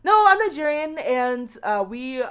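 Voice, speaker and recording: A woman from the United States giving conversational interview answers as a guest, expressive and repeating words for emphasis. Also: high-pitched, measured pace, a crowd in the background. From grew up in the United States. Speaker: podcast guest, education researcher.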